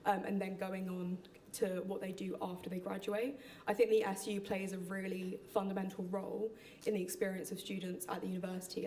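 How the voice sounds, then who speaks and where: A young woman with a mid-pitched voice that stays level, asking a long, friendly question with plenty of fillers. A faint hiss sits behind her. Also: dark tone. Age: young adult. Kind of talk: question from the audience.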